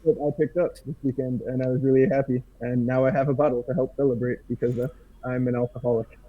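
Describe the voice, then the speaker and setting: A man's voice: mid-range voice, level tone, fast talker, narrow, tinny audio. A man who is a Navy medic, chatting wryly and grumbling with friends before a tabletop game. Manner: wry, grumbling banter.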